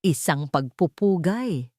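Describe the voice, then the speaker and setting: Woman reading as a dignified older Filipino father, low-pitched. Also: expressive, slow pace, warm tone, no echo, studio quality. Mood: proud. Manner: formal, earnest. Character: dignified, remorseful, affectionate older wealthy father.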